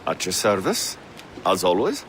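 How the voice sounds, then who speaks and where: Courteous, lively naval captain. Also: male voice, low voice, a little slow, slight background noise, full character voice. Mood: genial. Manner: formal, polite. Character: courteous, genial male naval captain, 18th century. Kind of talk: video game cutscene acting.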